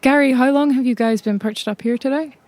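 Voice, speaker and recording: A woman bantering casually as a player in an improvised tabletop game, playful and deadpan. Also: high voice, brisk, dry recording. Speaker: player in a tabletop roleplay podcast.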